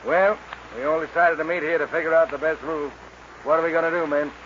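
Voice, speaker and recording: An actor playing a weary middle-aged rancher in a 1940s radio western, folksy and plain-spoken. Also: male voice, a little high, fairly even intonation, quick pace, fairly bright tone, natural voice. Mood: grimly worried. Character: weary middle-aged rancher father, desperate over debts. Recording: muffled, noisy 1940s radio recording.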